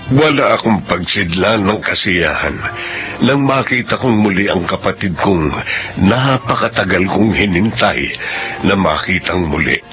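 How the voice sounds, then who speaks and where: A middle-aged Filipino devout brother in a radio drama, heartfelt and grateful. His voice is fairly low, with clear music behind it. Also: lively intonation, a little slow. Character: devout, grateful brother reunited with lost sister.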